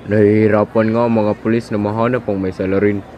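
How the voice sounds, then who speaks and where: A man playing a low-key gossiping neighbourhood pal in an amateur radio drama. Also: level tone, fast, dull audio, a faint crowd, slight background noise, close to an everyday voice. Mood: matter-of-fact.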